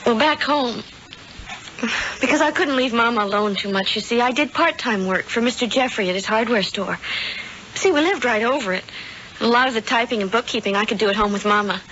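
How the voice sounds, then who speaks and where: Woman acting high-voiced, naive young innocent. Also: a little fast, a bit bright, natural voice. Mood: earnest. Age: young adult. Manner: shy, hesitant, earnest. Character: naive, sweet, sheltered young small-town woman. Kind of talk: 1970s radio drama acting. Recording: dull, noisy old radio drama recording.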